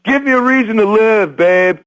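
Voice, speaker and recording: A man playing a drawling male fan caller who pleads with the DJ in slow, drawn-out words. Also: sits high, fairly bright tone, no echo. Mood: pleading. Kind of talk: scripted game voice lines.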